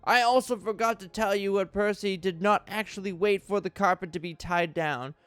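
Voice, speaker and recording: A man's voice: high-pitched, moderate pace, a bit bright, clear, resonant tone, no echo, soft background music. A man hosting a mock dramatic reading in a theatrical, exaggerated tone of outrage. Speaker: YouTube host reading a list.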